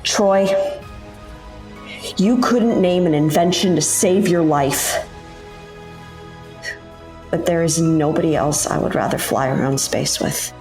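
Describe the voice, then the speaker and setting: A woman's voice: low-pitched, slow, long pauses, darkish tone, soft background music. A woman playing a bold, teasing adventurer in a sci-fi audio drama, wry and plucky. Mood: fond, teasing. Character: bold, teasing female mystery-show presenter turned space adventurer. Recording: roomy, echoey sound.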